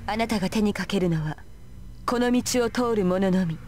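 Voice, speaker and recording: A woman's voice: medium pitch, quick pace, darkish tone, close to an everyday voice. A woman playing a composed, coolly polite servant warrior in a Japanese visual novel, speaking formally. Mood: cool, defiant. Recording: game dialogue over background music.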